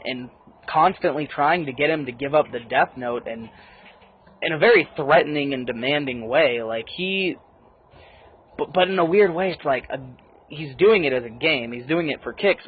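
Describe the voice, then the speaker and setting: Man with a husky voice, blunt review commentary. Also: on the high side, phone-quality sound. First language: English. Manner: blunt, casually profane. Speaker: video movie reviewer. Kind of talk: opinionated casual review commentary.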